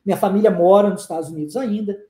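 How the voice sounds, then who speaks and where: A man in his forties with a soft, mellow voice teaches a live online class. He talks emphatically, pushing his argument and repeating rhetorical questions to the viewers. The room around him rings with a noticeable echo. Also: high voice, rapid-fire. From born in Brazil, raised in the United States. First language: English. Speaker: English teacher.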